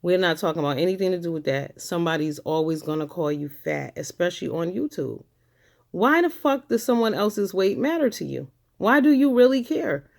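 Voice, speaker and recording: A woman's voice: on the low side, animated, brisk, creaky, darkish tone. A woman vlogger ranting to camera, blunt and confrontational, swearing often and hammering points home by repeating them. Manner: blunt, profane, confrontational, repeats phrases for emphasis. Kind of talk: angry ranting monologue to camera.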